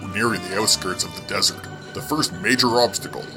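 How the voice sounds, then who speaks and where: Guy doing a confident superhero from a comic, lowish voice, dramatic reading, music behind. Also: a little fast, natural voice.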